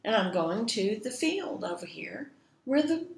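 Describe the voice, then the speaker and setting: Woman playing middle billy goat, storybook, reasonable, matter-of-fact, calm, roomy sound. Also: on the high side, unhurried, gravelly, slight background noise, heavily acted. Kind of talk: children's storytelling. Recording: echoey room.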